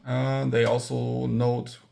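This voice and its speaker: A man's voice: medium pitch, completely flat delivery, slow, creaky, dark tone. A man giving a casual hands-on tech tutorial, thinking aloud as he demonstrates. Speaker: electronics hacker presenting own project.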